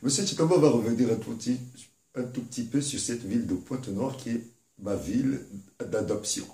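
A man's voice: medium pitch, brisk, dark tone. A man hosting an interview, putting emphatic and courteous questions to his guest. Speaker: host. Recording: roomy echo.

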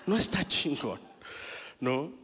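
A man in his thirties with a Mexican accent works a small club crowd through a handheld mic. His delivery is chatty and self-mocking, dotted with crude slang, and his pitch sits a little high and swoops around a lot. A long reverb trails each line. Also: big pitch swings, takes it slowly, fairly bright tone. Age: 30s. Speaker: stand-up comedian. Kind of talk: stand-up comedy set. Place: small club stage with a live audience.

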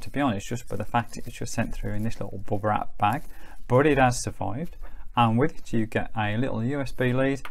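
A man's voice: mid-range voice, vocal fry. A middle-aged man giving a casual product review in a chatty, matter-of-fact way. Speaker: host reviewing gadgets.

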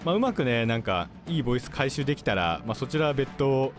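Male game commentator, mid-pitched and very varied in intonation, polite explainer, game music and artillery behind. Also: big pitch swings, fast talker. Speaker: gaming video host. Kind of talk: live gameplay commentary. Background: game music, gunfire and artillery.

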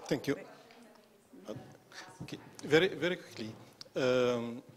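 A man from Lebanon asks a brief question from the audience in a large lecture hall, speaking with halting phrasing. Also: mid-range voice. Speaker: audience member asking a question. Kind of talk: question from the floor.

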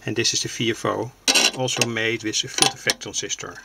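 A man with a creaky voice gives a halting, unscripted technical demonstration, explaining things plainly. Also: fairly low pitch, fairly even intonation, heavy background noise. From Netherlands. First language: Dutch. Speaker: hobbyist radio builder, presenter. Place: indoors at a home workbench. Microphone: handheld camera's built-in microphone.

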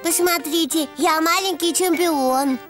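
A performer voicing a cheerful, excitable little donkey boy in a preschool cartoon dub, childlike and playful. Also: extremely high pitch, unhurried, over loud music. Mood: proud, excited.

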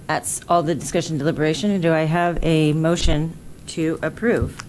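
A man chairing a school board meeting, calling motions and items in a brisk procedural manner. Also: on the high side, level tone, a little hiss or hum, rough recording. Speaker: board president.

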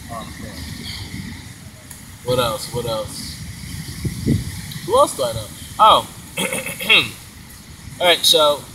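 A young man chatting casually in a park, loose and rambling with frequent swearing, filmed on a phone held by a friend. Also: mid-range voice. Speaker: vlogger. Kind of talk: casual chat. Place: outdoor city park, sitting on grass. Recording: distant phone mic with traffic noise.

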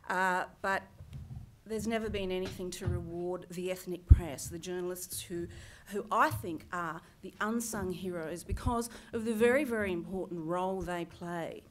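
A woman's voice: on the low side, moderate pace, a little hiss or hum. Woman speaking at a conference lectern. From Greece. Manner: earnest, storytelling, with wry asides and gestures. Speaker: journalist and lecturer giving a conference talk. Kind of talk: personal conference speech. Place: large conference hall, at a lectern. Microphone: headset mic.

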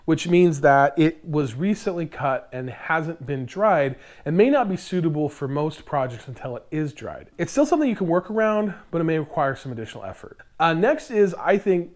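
A man's voice: on the high side, very animated, moderate pace, hardly any pauses. An American man in his thirties presenting explanatory DIY tips to camera, recorded indoors on a lapel mic. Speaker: host of a DIY woodworking channel. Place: indoor workshop in front of lumber racks.

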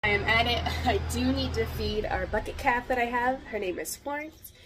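A woman's voice: fairly high pitch, normal speed, very noisy. Chatty young woman with a head cold. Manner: chatty, rambling with many ums. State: getting over a head cold, deeper voice than usual. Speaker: homesteading vlogger. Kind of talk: casual chat to camera. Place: farm buildings, metal shed and barn. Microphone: phone held at arm's length.

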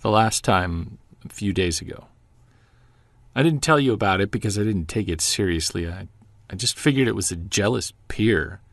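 A man playing a distraught, defensive estranged husband in an audio drama, halting and agitated. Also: fairly low pitch, expressive, no room sound. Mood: worried, defensive.

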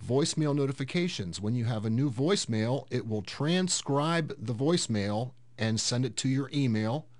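A man hosting a casual software tutorial, explaining things step by step in an unhurried way. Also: mid-range voice, animated. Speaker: host of a tech tutorial series.

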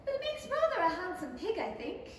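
Very high, earnest young girl, played by a woman. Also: sing-song, a little fast. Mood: scolding. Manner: exclaiming, theatrical, prim. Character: polite, earnest, easily startled young girl. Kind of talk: 1930s radio play acting. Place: small indoor theatre stage, camera at a distance. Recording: very echoey hall from far off, noisy.

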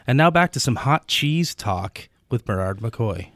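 A man's voice: mid-range voice, expressive, a little slow, fairly bright tone, no room sound, clean studio sound. A man co-hosting a radio show, talking and announcing in a conversational broadcast style.

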